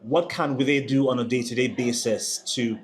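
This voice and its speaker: A man's voice: medium pitch, fairly even intonation, normal speed. A man hosting an interview, asking long conversational questions and searching for words with fillers. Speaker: host.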